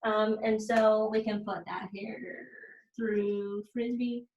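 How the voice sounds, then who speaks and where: Expressive woman teacher reading aloud, echoey room. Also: mid-range voice, slow pace, dull audio, slight background noise. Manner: expressive, stressing key words. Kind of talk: children's read-aloud with teaching commentary.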